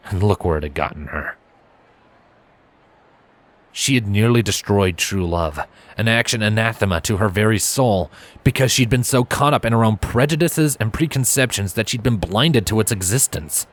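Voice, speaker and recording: A man narrating a scripted story with expressive, dramatic delivery. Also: fairly low pitch, moderate pace. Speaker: fan fiction narrator.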